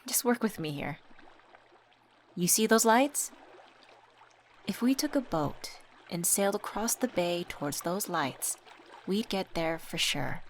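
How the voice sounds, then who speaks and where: A woman voice actor playing a supportive, gently teasing young best friend in a scripted ASMR roleplay, talking casually and intimately. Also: medium pitch, a little hiss or hum. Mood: playful, hopeful.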